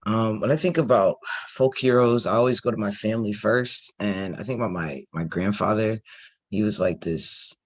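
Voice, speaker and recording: A man with a husky voice speaking informally and haltingly as he shares personal reflections on a panel. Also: lowish voice, poor audio.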